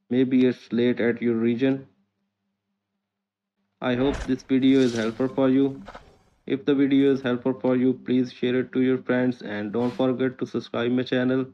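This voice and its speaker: A man hosting a tech tutorial, reading out scripted step-by-step instructions. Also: medium pitch. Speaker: host of a tech tutorial channel. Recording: muffled, dull lo-fi audio.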